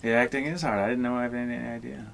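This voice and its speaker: A man's voice: mid-range voice, steady tone, creaky, a bit bright, slight background noise. A man co-hosting a podcast, joking in an easygoing, laid-back way. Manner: slow, laid-back, laughing. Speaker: podcast co-host. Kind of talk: casual joking chat.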